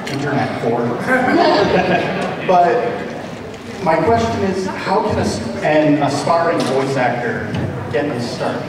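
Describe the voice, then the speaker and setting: Higher-voiced man in a noisy hall. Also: big pitch swings, takes it slowly. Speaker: audience member asking a question. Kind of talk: earnest question from the floor. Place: large indoor convention hall. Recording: echoey hall, distant mic, loud crowd.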